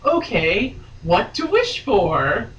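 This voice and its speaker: A woman's voice: mid-range voice, sing-song, slow pace, fairly bright tone, lo-fi sound. A woman giving a theatrical, mock-serious dramatic reading of a romance novel as a guest reader. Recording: echoey room, distant mic, faint noise.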